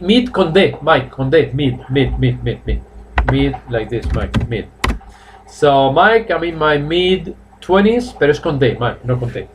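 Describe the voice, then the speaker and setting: Teacher, fifties, higher voice, slow drills, webcam room with faint noise. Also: male voice. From Spain. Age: 50s. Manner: repeats phrases slowly for drilling, switches between English and Spanish. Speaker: English teacher. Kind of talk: live online class, bilingual English and Spanish. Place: small room at home.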